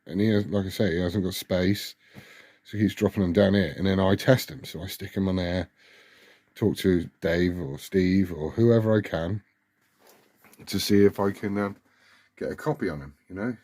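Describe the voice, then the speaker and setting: A British man with a husky voice rambling informally and swearing as he hosts a casual hobby vlog. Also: low voice, fairly even intonation, moderate pace, a little mellow. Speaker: CB radio hobbyist video host. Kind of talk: casual rambling chat to camera.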